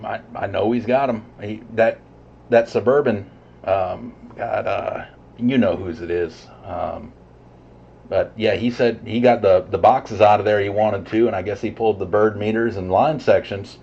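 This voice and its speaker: A man chatting casually over CB radio in a halting, low-key way. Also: fairly even intonation, vocal fry, a little mellow, husky. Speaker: CB radio operator. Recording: dull, muffled CB radio signal.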